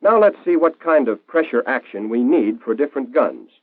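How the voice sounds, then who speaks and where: A tinny, band-limited old film soundtrack carries an American man's narration. He explains things in a clear, instructional 1940s style, with lively rises and falls in his pitch. The sound is dry, with very little room around the voice. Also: moderate pace, no echo.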